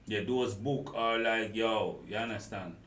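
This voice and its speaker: A man's voice: fairly low pitch, measured pace, fairly bright tone. A man, hosting a casual chat with blunt, opinionated remarks. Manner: blunt, opinionated, patois phrasing. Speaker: host.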